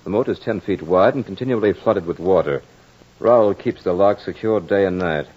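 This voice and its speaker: Deep-voiced brooding nobleman, vintage radio horror. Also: moderate pace, breathy edge. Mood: anxious, urgent. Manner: formal, grave, theatrical delivery. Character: brooding French nobleman husband, narrating his tale of dread. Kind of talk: old-time radio horror acting, dramatic first-person narration. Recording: muffled old radio recording with music.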